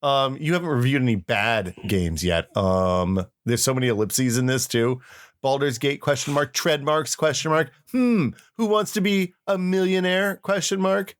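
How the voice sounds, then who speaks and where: A man's voice: mid-range voice, normal speed. A middle-aged American man co-hosting a podcast, chatting casually and reading old posts aloud in a wry, self-mocking way. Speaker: podcast co-host.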